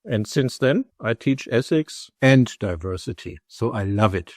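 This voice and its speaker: A man's voice: mid-range voice, expressive, a little mellow, no room sound. German-accented middle-aged man, dry sound. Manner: measured, storytelling, speaks in full explanatory sentences. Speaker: guest, company executive and lecturer. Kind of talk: conversational podcast interview.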